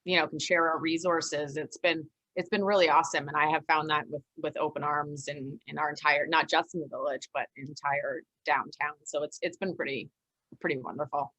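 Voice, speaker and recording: Low-voiced but bright woman, chatty business owner, dry close sound. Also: fairly even intonation, normal speed, no echo, rough recording. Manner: enthusiastic, chatty, laughing. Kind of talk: casual panel talk. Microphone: video call.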